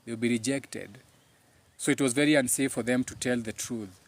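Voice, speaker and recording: A man's voice: mid-range voice, moderate pace. A man with a Kenyan accent and Kikuyu as his first language, speaking informally as the host of a live talk, emphatic and preachy, often repeating his phrases.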